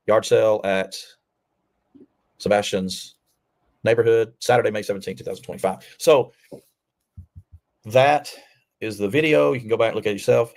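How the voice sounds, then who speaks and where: A man giving casual opinion commentary over video, in deliberate short phrases. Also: fairly low pitch, darkish tone.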